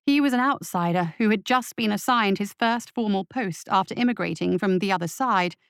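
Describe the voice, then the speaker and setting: A woman narrates fiction in a dramatic, scripted audiobook style. Her voice sits at a medium pitch, and the sound is close and dry with no room around it. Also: a little fast, creaky, clean tone, dry recording.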